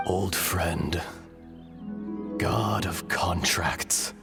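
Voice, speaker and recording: Ancient, deep, slow-spoken earth dragon. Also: male voice, gravelly, exaggerated voice. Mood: resigned, nostalgic. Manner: grave, measured, formal and poetic. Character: ancient, solemn earth dragon speaking through a man, weary, philosophical. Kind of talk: dramatic fantasy game dialogue. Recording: music behind the voice.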